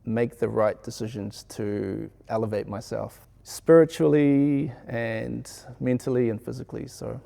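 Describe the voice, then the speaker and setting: A man with a New Zealand accent speaks reflectively, as if answering an interview. His voice is mellow and relaxed, with a creaky, gravelly edge. He sounds earnest and measured. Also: mid-range voice. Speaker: sound engineer being interviewed.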